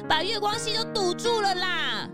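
A woman playing an excitable young detective's sidekick in a children's radio drama, exclamatory and quick to whine. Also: extremely high pitch, over loud music. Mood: disgusted.

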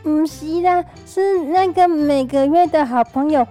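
A woman's voice: high-pitched, warm tone, faint music behind. A woman with a Taiwanese accent playing an embarrassed, pleading young female guest, hesitant and cutesy, in a comedic audio drama. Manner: hesitant, halting.

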